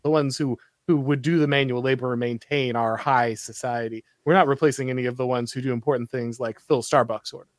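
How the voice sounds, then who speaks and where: A man's voice: medium pitch, fairly even intonation, brisk, few pauses, no room sound, clean studio sound. An American man hosting a casual political discussion, with wry, sarcastic asides. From United States. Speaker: host.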